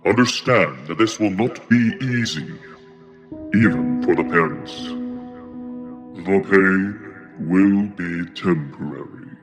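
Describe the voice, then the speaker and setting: A deep-voiced otherworldly male guide, acted by a man, solemn and all-knowing. He speaks slowly and formally, with calm reassurance. Faint music plays behind him in this drama.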